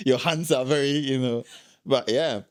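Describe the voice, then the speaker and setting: A man's voice: medium pitch, slow pace, clear, resonant tone, no echo, clean studio sound. A young man from Ghana, a guest telling his travel stories in a casual interview, laughing often.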